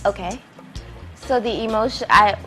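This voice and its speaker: A woman's voice: mid-range voice, moderate pace, pushed and bright, soft background music. A woman speaking as an interview guest, explaining her thoughts earnestly in a conversational way. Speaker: guest, playwright and director.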